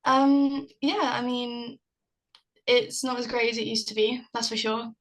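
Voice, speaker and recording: A woman's voice: a little high, fairly even intonation, a little slow, fairly bright tone. A young woman from South London with a South London accent, a singer-songwriter chatting casually on a video call, giggly and full of "like" fillers. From South London, England. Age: young adult. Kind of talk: casual interview chat.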